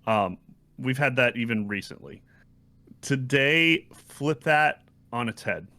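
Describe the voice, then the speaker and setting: A man co-hosting a podcast, delivering a game verdict in short, measured clauses in a conversational style. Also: mid-range voice, expressive, bright, forceful tone. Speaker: podcast co-host. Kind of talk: casual video game review discussion.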